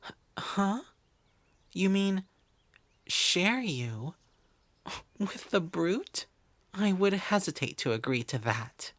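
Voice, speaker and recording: A woman's voice: fairly low pitch, very animated, takes it slowly, pauses often, no room sound. A woman voicing a proud female elf wizard in an audio roleplay, prim and aloof, often sighing. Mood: haughty.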